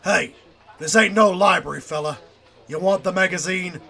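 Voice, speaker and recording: An irritated shopkeeper in an audio drama. The voice is high and piercingly bright, with a pushy, slangy, confrontational delivery. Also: male voice, moderate pace, creaky, slightly rough, full character voice. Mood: annoyed. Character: irritated, pushy male shopkeeper spoiling for trouble.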